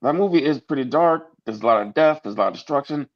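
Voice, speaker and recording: A man's voice: medium pitch, lively intonation, quick pace, highs cut off. A man in his thirties co-hosting a pop culture podcast, talking casually and restarting his sentences. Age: 30s. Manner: stammering restarts, earnest. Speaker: podcast co-host. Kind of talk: casual chat.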